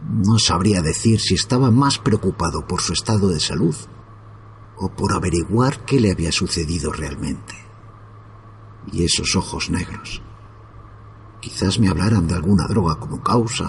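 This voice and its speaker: A man narrating a horror story in a slow, grave, hushed manner. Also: sits low, expressive, creaky, a little hiss or hum.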